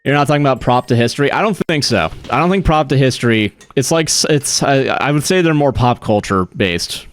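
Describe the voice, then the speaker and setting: Male streamer, casual chat, lots of swearing, frequent laughs, mid-pitched voice, faint music behind. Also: animated, a little fast, few pauses. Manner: profane, laughs often, chatty asides to chat. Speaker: game streamer. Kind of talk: casual live-stream chat while gaming.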